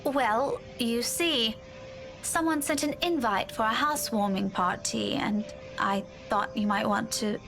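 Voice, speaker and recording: A woman playing an earnest, dutiful young woman in a visual novel game, conversational and gently coaxing. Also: a little high, measured pace, vocal fry, poor audio. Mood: hesitant. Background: game music.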